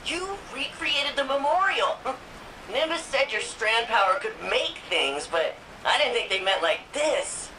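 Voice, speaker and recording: An actress playing a brisk, nerdy woman archivist in a video game, chatty and expressive. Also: fairly high pitch, a little hiss or hum, rough recording. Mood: curious, wry. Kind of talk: video game voice acting, exposition.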